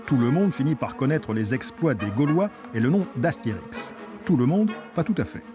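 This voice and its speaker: A man's voice: mid-range voice, expressive, moderate pace, music in the background. A man reading a scripted documentary narration as its narrator.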